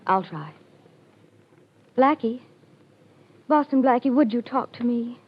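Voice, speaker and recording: A woman's voice: fairly high pitch, expressive, takes it slowly, husky, heavily acted. An actress playing an eager, insistent young woman witness in a 1940s radio drama. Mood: coaxing. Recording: muffled old radio broadcast with faint hiss.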